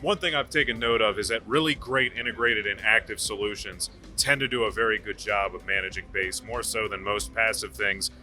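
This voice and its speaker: Lower-voiced man with a very bright tone. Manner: agreeable, chiming in with short replies. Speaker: interviewer and dealer. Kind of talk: interview. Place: demo room at a trade show.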